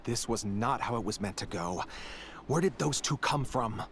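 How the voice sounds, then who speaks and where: An actor playing an anxious, cornered small-time criminal in a video game, nervous and halting. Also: male voice, mid-range voice, animated, a bit bright, a little hiss or hum. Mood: startled, nervous. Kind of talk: scripted video game voice acting.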